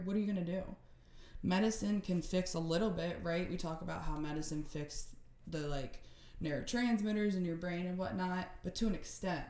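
Guest therapist, woman, low-ish voice, frank and emphatic, echoey room. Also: a little mellow. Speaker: psychiatric rehabilitation therapist, podcast guest. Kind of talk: casual conversation.

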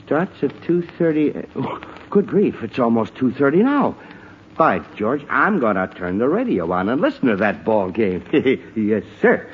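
An animated, flustered radio-comedy dad. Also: male voice, mid-range voice, a little slow. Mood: eager. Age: middle-aged. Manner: exclamatory, muttering to himself. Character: excitable, easily flustered suburban family father. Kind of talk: 1950s radio comedy acting. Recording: muffled, noisy old radio broadcast.

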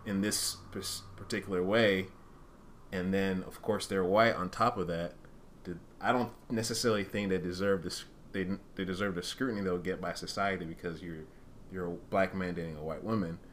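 Deep-voiced man reflecting in casual discussion. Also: steady tone, moderate pace, a little hiss or hum. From Uganda-born, raised in Rwandan culture. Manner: reflective, hedging, thinking aloud. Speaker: podcast co-host.

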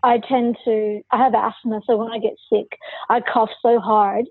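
A forthright, outspoken woman recounts her own story as a podcast guest, her pitch sitting a little high. Her voice comes across husky. It sounds thin and phone-like, with a dry, close sound. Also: little pitch movement, moderate pace, dry recording. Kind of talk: personal storytelling in an interview.

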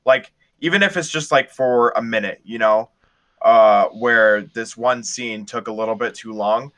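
A man's voice: mid-range voice, measured pace, sharp tone. A young man co-hosting a podcast, talking casually in a group review chat. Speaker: podcast co-host.

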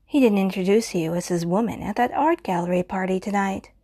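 Woman doing a creepy obsessive stalker, husky hushed whispers, menacing and intimate. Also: medium pitch, fairly quick. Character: obsessive, possessive murderous stalker lover. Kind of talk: audio roleplay monologue.

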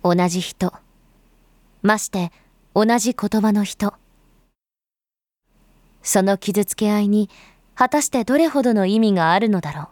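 A woman's voice: mid-range voice, unhurried, long pauses, darkish tone, no room sound, studio quality, close to an everyday voice. A woman performing as a grave storyteller, giving scripted dramatic narration in solemn, literary phrasing. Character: grave storyteller recounting a bloody uprising.

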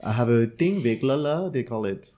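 A man's voice: mid-range voice, expressive, normal speed, mellow. A man in his thirties, from France, co-hosting a podcast interview in a casual, friendly, self-deprecating way. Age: 30s. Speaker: podcast co-host.

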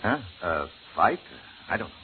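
A folksy, practical radio station man in an old radio play. His colloquial talk swings widely in pitch, and the recording is narrow and tinny with light hiss. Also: very animated, normal speed. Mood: calm, placating. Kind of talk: 1940s radio drama acting.

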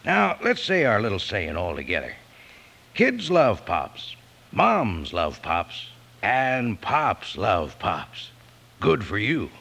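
A man's voice: lowish voice, wildly swooping pitch, slow pace, gravelly, fairly bright tone. A man delivering a hearty, folksy cowboy-style 1950s radio advertisement aimed at children. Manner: folksy, hearty cowboy talk addressed to kids. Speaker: commercial announcer.

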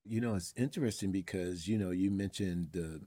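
Low, dark-voiced male interviewer. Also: moderate pace. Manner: hesitant questions with fillers. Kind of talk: casual interview.

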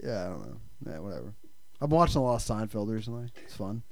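A man's voice: fairly low pitch, big pitch swings, quick pace, no echo. A young man co-hosting a casual comedy chat who keeps riffing into goofy bits. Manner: goofy, riffs into impressions. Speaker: podcast co-host.

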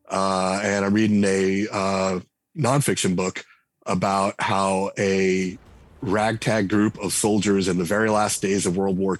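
A man, a game designer and writer, talking reflectively as a podcast guest, pausing often on fillers. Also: low voice, no room sound. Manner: reflective, hesitant with frequent fillers. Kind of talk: casual interview talk.

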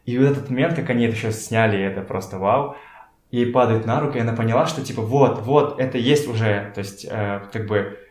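A man talking casually as a podcast guest, telling stories in a halting way as he searches for words. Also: medium pitch, a little mellow. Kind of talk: casual conversational storytelling. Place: indoors in a home. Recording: echoey room.

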